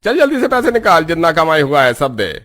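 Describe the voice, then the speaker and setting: A man's voice: on the high side, sing-song, fairly quick, a bit bright. A man voicing a bullying, menacing street thug in a cartoon story. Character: bullying male street thug demanding money.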